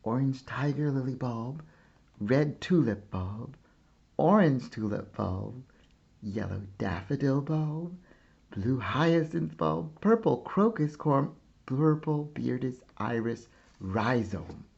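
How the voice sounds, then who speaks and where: A man reading a children's picture book aloud like a teacher, with deliberate, expressive phrasing and pauses. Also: medium pitch, gravelly, breathy edge, muffled recording. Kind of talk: slow children's storybook read-aloud.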